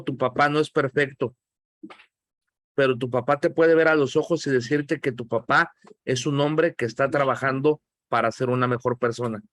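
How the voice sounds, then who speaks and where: A man's voice: mid-range voice, fairly bright tone, dry recording. A middle-aged man with a Mexican accent, a pastor teaching an online Bible class in a joking, emphatic, friendly scolding way.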